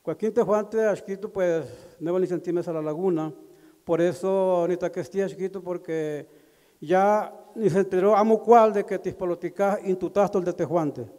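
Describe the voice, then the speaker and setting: Middle-aged guy, higher voice but dark and mellow, halting with lots of pauses, echoey hall. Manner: halting, with pauses and fillers, switching between languages. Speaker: community member at a consultation. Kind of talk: public address. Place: large indoor community hall. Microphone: handheld microphone.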